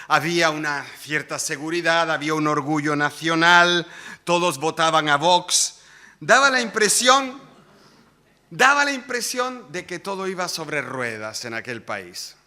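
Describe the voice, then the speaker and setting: A man's voice: on the high side, bright, forceful tone. A man preaching a sermon in a conversational way, often asking the congregation questions. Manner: conversational, asks the audience rhetorical questions.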